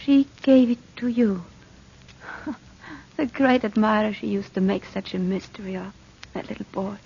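An actress playing a frightened, fragile wife who doubts her sanity, pleading and halting in 1940s radio drama style. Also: medium pitch, fairly even intonation, a little mellow, close to an everyday voice. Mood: anxious, weary. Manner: pleading, halting, breathy with fear. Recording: dull, noisy old radio recording.

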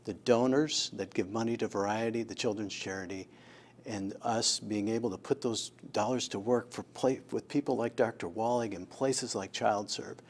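Halting, deep-voiced man. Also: fairly even intonation, a little hiss or hum. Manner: halting, pausing mid-sentence. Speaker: charity board leader, interview guest. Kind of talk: unscripted interview talk. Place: indoor office room, television shoot.

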